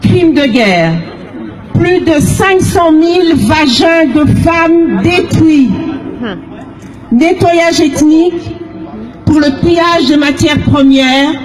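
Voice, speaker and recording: A woman from the Democratic Republic of the Congo giving an impassioned public speech as an activist, shouting her words slowly and emphatically. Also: high voice, low-quality recording. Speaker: activist speaker. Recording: crowd and music audible behind. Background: crowd noise and music.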